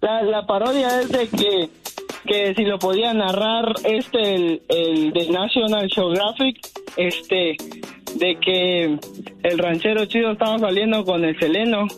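A man calls in over the phone and haltingly tells a long story. He stops and restarts often. Faint music plays under the line. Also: high-pitched. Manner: hesitant, rambling storyteller. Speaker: caller. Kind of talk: call-in phone chat.

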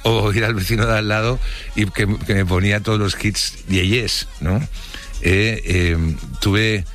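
A middle-aged man with an accent from Spain, recalling his life in a casual, colloquial way, halting and reflective as he goes. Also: lowish voice, steady tone, a bit bright, soft background music. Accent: Spanish from Spain. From Barcelona, Spain. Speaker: interviewee telling his life story. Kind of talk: casual spoken recollection.

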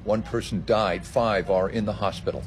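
A man filing a radio news report in a steady, matter-of-fact manner. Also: on the low side, moderate pace. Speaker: news correspondent.

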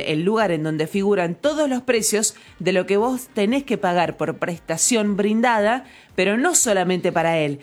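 Argentine woman explaining on radio. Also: mid-range voice. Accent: Argentine, Mendoza. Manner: explanatory, brisk, jokey. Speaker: radio host. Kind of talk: radio talk show.

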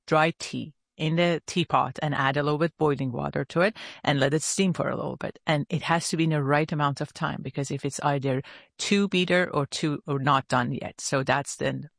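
A woman's voice: sits low, fairly even intonation, moderate pace, creaky, dry recording, clean studio sound. A woman from Iran, a university research leader answering interview questions and explaining things carefully. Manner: explains carefully, with short affirmative replies.

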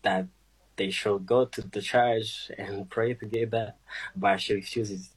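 Husky-voiced Burundian man, halting. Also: fairly low pitch, fairly even intonation, rough recording. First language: Kirundi. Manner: slow, hesitant, halting English with many "uh" fillers. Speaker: musician guest. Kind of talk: radio interview answers.

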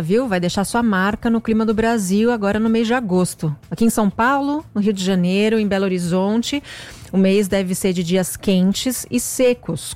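A woman's voice: mid-range voice, darkish tone. A woman anchoring a live morning news show, reading the weather in an informal, chatty way with casual asides.

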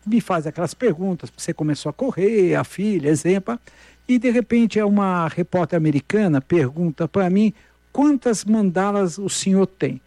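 An older Brazilian man, a marathon runner, telling animated anecdotes in a casual chat, often restarting his sentences. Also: high-pitched, moderate pace, dry recording. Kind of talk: casual storytelling.

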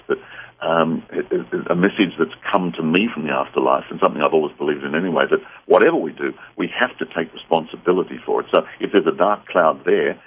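A man with an Australian accent and a husky voice, speaking earnestly as a guest on a radio talk show. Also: deep voice. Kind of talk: earnest interview conversation. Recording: dull phone-like radio line.

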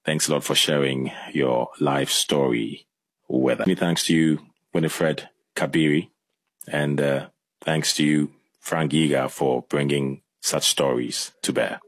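A man hosting a broadcast interview in a polite, measured way. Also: a bass voice, steady tone, no room sound. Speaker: radio host.

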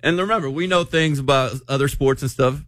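A man's voice: on the high side, brisk, a bit bright, dry recording. An American man hosting a show, bantering with co-hosts in a playful, joking way. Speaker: radio show host.

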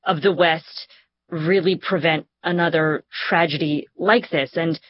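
Low-voiced woman interviewer, dry sound. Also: fairly even intonation, dry recording, dull audio. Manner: measured, thoughtful, builds long questions carefully. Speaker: interviewer, host. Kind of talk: thoughtful news podcast interviewing.

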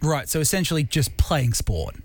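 A man's voice: a little high, animated, normal speed, no room sound. A man, co-hosting a radio show in casual, joking banter and sometimes stumbling over his words. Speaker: radio co-host. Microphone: studio microphone.

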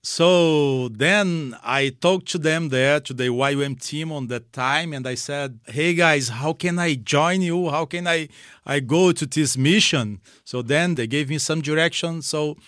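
Male missions-leader guest, unhurried delivery, simple emphatic phrasing, dry studio. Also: a little high, lively intonation, a bit bright, dry recording. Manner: simple non-native phrasing, emphatic repetition. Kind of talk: personal testimony in a radio interview. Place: radio studio.

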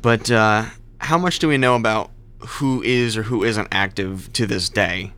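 Co-host, mid-pitched, hesitant and thoughtful, asks questions. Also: measured pace, a bit bright, smooth. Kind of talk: casual chat.

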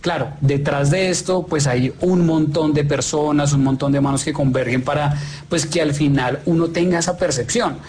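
A man interviewing in a conversational but formal, polite way, feeling his way through his questions with halting phrasing. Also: on the high side, steady tone, a little mellow.

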